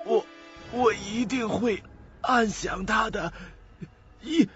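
An actor playing a frail, dying old man in a radio drama, pleading in halting, laboured phrases. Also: high voice, fairly bright tone, breathy edge, no echo, heavily acted. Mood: desperate, insistent. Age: elderly. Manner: halting, weak, laboured speech. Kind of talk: Mandarin radio drama acting. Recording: dull lo-fi audio with faint music.

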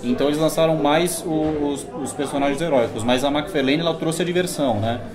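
A man from Brazil calmly presenting his collectible figures in a casual panel chat. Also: on the high side, a little fast, music in the background. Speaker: toy collector guest. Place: indoor room, seated at a table of toys.

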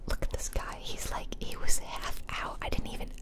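A woman whispering gently and chattily in ASMR style close to the microphone as she hosts a game playthrough. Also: moderate pace. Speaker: gaming video host. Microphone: close microphone, ear to ear.

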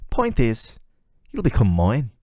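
A man's voice: mid-range voice, huge pitch swings, slow, darkish tone, dry recording, studio quality, exaggerated voice. A man voicing a flirty, sardonic, ageless male demon who teases the listener in casual, slangy, intimate roleplay audio. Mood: playful, flirty.

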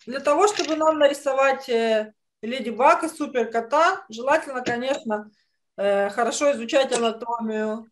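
A middle-aged woman with a high voice gives an online drawing lesson. She explains each step patiently, pausing and restarting as she instructs children over a video call. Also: a little hiss or hum. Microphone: computer mic on video call.

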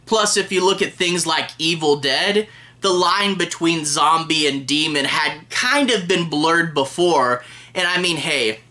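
A man's voice: fairly high pitch, measured pace, bright, forceful tone. A man in his thirties chatting enthusiastically and casually to camera as a film reviewer. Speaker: movie reviewer, host. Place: small room lined with DVD shelves.

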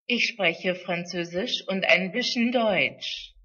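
A woman voicing a young woman student who introduces herself in slow, carefully spoken model sentences. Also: medium pitch, sharp tone, slightly rough, dull audio, heavily acted.

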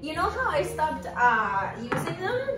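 A woman's voice: high voice, huge pitch swings, a little slow, a loud crowd. A young woman from Colombia with a Colombian accent, chatting playfully on a livestream in a home kitchen. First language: Spanish. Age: young adult. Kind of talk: casual chat while reading chat on stream. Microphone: far camera. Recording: roomy and distant, music behind.

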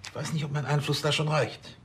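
An actor playing a flustered, self-important middle-aged police chief who stammers fussily in a TV crime comedy. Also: male voice, a little high, fairly even intonation, brisk, fairly bright tone, breathy edge. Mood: hesitant. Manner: stammering, fussy, pompous.

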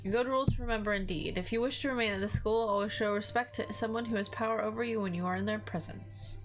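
A woman voicing a formal, stern male schoolmaster with a put-on German accent, speaking in a measured, courteous but firm way over music. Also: medium pitch, close to an everyday voice. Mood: stern, instructive.

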